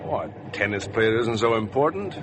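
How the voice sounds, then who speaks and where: Low-voiced, guarded young athlete. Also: male voice, sing-song, normal speed, noisy background. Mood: polite, reserved. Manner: guarded, courteous, brief replies. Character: reserved, polite, uneasy young male tennis player. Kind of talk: 1950s American radio drama acting.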